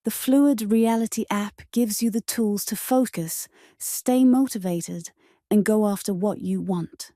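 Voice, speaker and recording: A woman delivers a scripted ad voice-over at a slow, unhurried pace. Her tone is mellow and soft, and the recording is dry and close. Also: medium pitch, dry recording. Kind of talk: scripted advertisement.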